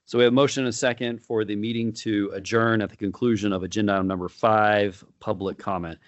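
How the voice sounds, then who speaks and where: A man's voice: fairly low pitch, steady tone, dry recording, dull audio. A man chairing a board meeting, speaking informally with frequent fillers and restarts. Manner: informal, halting, with many fillers.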